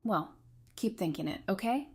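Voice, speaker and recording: An actress playing a warm, gently teasing woman doctor in an audio drama, talking in a casual, reassuring way. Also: mid-range voice, expressive, normal speed, husky. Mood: affectionate, teasing.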